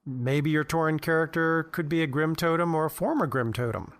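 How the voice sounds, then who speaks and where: Higher-voiced man reading a lore commentary. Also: fairly quick, no room sound. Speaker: podcast presenter. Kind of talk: scripted explanatory commentary on game lore.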